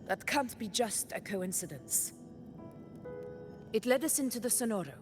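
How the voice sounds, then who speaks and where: A woman's voice: a little high, animated, moderate pace, over music, close to an everyday voice. A woman voicing a thoughtful, composed female adventurer in a video game. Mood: pensive.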